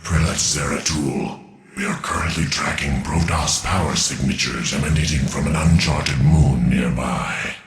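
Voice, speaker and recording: A man's voice: extremely low pitch, fairly even intonation, unhurried. A man playing a dutiful alien officer in a video game, reporting in a formal military manner. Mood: urgent, formal. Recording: echoey, faint music behind.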